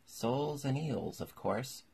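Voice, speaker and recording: Man voicing a brusque, gravelly storybook gryphon. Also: on the low side, expressive, exaggerated voice. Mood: impatient, bossy. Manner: brisk, bossy, matter-of-fact. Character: brusque, impatient gryphon creature, bossy and blunt. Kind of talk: storybook character voice.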